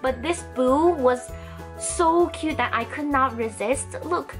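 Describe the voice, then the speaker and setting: A young woman chatting casually and excitably as a haul vlog host, slightly stuffed up from a cold. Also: high-pitched, slow, soft background music. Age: young adult. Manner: bubbly, excitable. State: stuffy, getting over a cold. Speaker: host of a toy haul channel. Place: indoor room lined with plush toys.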